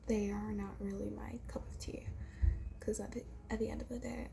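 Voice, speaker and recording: A woman playing a shy, bookish girl in an ASMR roleplay, soft-spoken and hesitant in a breathy whisper. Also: medium pitch, level tone, moderate pace, noisy background. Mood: shy, musing. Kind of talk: whispered ASMR roleplay, improvised casual chat.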